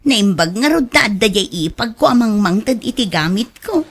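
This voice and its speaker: An actress with an Ilocano accent playing a practical, modest co-worker in a radio drama, talking conversationally. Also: female voice, mid-range voice, expressive, normal speed, slightly rough. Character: practical, unpretentious female co-worker, modest about spending.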